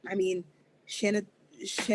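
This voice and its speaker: A woman's voice: medium pitch, level tone, unhurried, darkish tone, a little hiss or hum, natural voice. An actress at a table read playing a wry, teasing young woman who laughs easily. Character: young woman April, teasing, with a well-connected mom.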